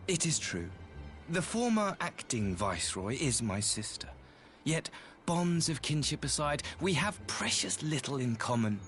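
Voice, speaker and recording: An actor playing a courteous, self-important imperial ambassador in a video game, speaking with formal, theatrical politeness. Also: male voice, a little high, very animated, unhurried, a bit bright, a little hiss or hum. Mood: courteous, smooth. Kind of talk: scripted game dialogue.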